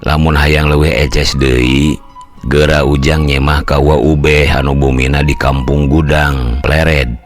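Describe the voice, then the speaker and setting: An older man voicing an elderly uncle who calmly explains the family lineage, speaking slowly and gravely. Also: extremely low pitch, faint music behind.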